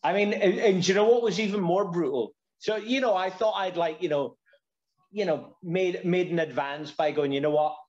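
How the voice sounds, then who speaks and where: Casting: middle-aged male bar owner, fairly high-pitched, emphatic raconteur, heard through dull video-call audio. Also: normal speed, a little mellow. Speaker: guest, bar and venue owner. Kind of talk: casual video-call chat. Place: stone-walled room in a bar.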